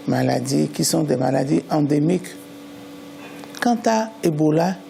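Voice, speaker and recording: A man interviewed on a news program, explaining public health priorities in a measured way. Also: a little high, gravelly, mellow, slight background noise.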